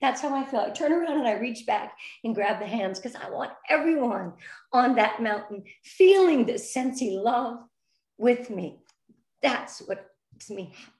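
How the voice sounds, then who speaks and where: A woman's voice: a little high, expressive, normal speed, darkish tone, reverberant. Effusive earnest woman in her fifties. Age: 50s. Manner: effusive, earnest, nervous energy. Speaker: interview guest. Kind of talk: emotional heartfelt interview.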